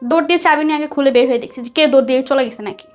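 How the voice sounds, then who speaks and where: A woman with a Bangladeshi accent telling a personal story conversationally, animated and dropping in English words. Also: high-pitched, very fast. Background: soft background music.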